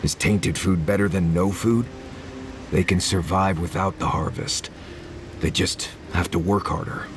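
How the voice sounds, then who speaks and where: A roguish companion in a fantasy video game. He is low-pitched, casual and wry, looking out for his own skin. A clear bed of ambient noise sits behind him. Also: fairly even intonation, a little slow. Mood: dismissive, impatient. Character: wry, self-preserving adventuring companion, gruff and casual.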